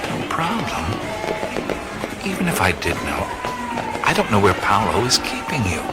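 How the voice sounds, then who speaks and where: An actor playing a bewildered, wisecracking adventurer in a radio play, with halting, wry exclamations. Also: male voice, lowish voice, huge pitch swings. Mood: alarmed. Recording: studio radio play with loud music. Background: loud music and effects.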